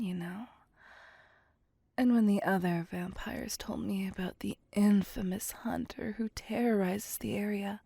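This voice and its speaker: Woman playing a slow, breathy seductive vampire. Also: mid-range voice, warm tone, dry recording, heavily acted. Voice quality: breathy, whispery. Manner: slow, teasing, flirtatious. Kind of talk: ASMR roleplay.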